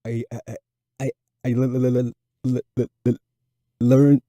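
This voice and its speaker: A man's voice: medium pitch, level tone, moderate pace, mellow, no echo, lightly acted. A man voicing a nervous fourth-grade boy with a severe stutter, repeating sounds and words. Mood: anxious, struggling.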